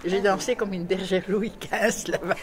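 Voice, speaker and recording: An older woman with a Belgian French accent and a mid-range voice recalls her youth. She talks briskly, chuckling and overlapping her friend. A crowd murmurs loudly around them. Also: mid-range voice. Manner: lively, chuckling, interrupts. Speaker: interviewee. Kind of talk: casual interview.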